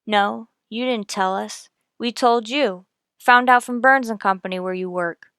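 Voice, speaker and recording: An actress playing a cool, persistent police detective in a radio crime drama, questioning in a clipped, deadpan, needling way. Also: female voice, medium pitch, measured pace, clean tone, dry recording, studio quality. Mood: dry, needling. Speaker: voice actor in an audio police drama.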